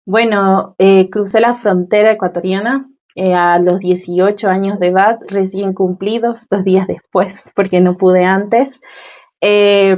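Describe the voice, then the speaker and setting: A woman's voice: mid-range voice, lo-fi sound. A woman in her twenties with a Venezuelan accent, telling her personal story as an interview guest. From Mérida, Venezuela.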